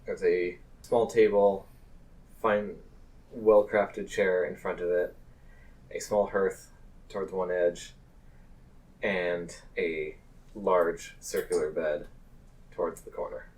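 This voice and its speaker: A man with a low voice serves as game master at a tabletop session. He speaks slowly and deliberately, pausing as he builds each description. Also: steady tone, a little mellow, poor audio. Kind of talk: improvised tabletop game narration.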